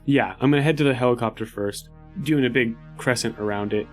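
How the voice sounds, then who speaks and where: Male tabletop player, medium pitch, casual, thinking aloud, music in the background. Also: fairly quick. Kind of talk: casual tabletop game talk. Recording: music behind the voice.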